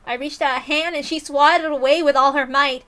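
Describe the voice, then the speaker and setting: Bright, very high-voiced woman narrating. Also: fairly even intonation, brisk. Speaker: visual novel reader. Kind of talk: dramatic scripted narration.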